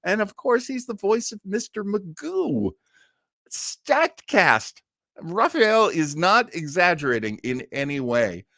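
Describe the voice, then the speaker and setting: A man's voice: high-pitched, sing-song, takes it slowly, bright, forceful tone, no room sound, clean studio sound. A middle-aged or older man hosting a live online listening party, chatting casually and enthusiastically like a devoted fan. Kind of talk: casual live chat introducing a radio cast.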